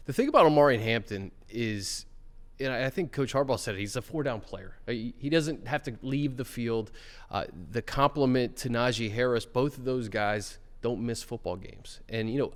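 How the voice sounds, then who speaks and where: A man hosting a sports talk show, moving from an upbeat welcome into relaxed, conversational football analysis. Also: mid-range voice, in a large hall. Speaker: podcast host.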